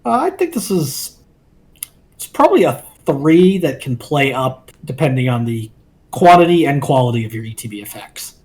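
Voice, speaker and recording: A man co-hosting a card game review, talking in a measured, analytical way with some filler ums. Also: medium pitch, sing-song, darkish tone. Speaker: co-host.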